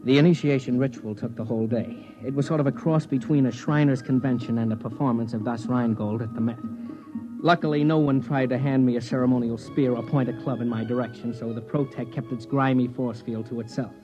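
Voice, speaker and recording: An actor playing a nervous, exasperated space explorer in a 1950s radio drama, with wry narration and dialogue. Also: male voice, mid-range voice, steady tone, brisk, music in the background, natural voice. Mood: wry, weary. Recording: dull vintage radio recording.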